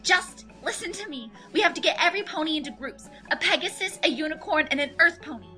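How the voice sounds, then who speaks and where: A woman's voice: high-pitched, lively intonation, fast talker, pushed and bright, faint music behind, pitched above the performer's own voice. A woman voicing an urgent, bossy female unicorn pony in an audiobook. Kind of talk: audiobook character voice.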